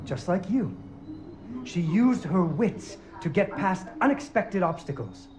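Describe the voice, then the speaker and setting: A lively puppet-show storyteller. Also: male voice, sits high, slow. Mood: storytelling. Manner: animated, sing-song fairy-tale delivery. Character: playful adult male puppet-show storyteller, theatrical. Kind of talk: storybook narration in a video game. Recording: game audio with music underneath.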